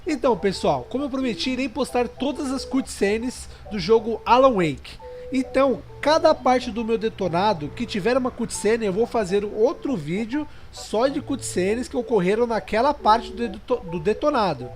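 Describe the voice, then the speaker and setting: A man's voice: very high-pitched, lively intonation, brisk, soft background music. A Brazilian man giving a casual, unscripted gaming video introduction, hesitant and correcting himself as he goes. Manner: informal, hesitant, self-correcting.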